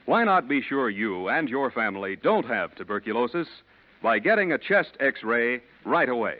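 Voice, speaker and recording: A man's voice: medium pitch, big pitch swings, moderate pace. A man with an American accent announcing in polished, emphatic, scripted 1940s radio style. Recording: muffled 1940s radio broadcast.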